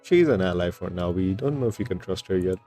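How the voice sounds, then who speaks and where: A man gives casual game playthrough commentary as a streamer. His voice is very low and dark. Game music plays softly behind him. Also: animated, quick pace.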